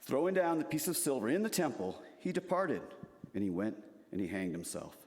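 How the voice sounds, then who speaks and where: A man's voice: mid-range voice, sing-song, fairly quick, a little mellow. A man narrating a dramatic scripture reading in a church. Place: large church sanctuary. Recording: reverberant hall.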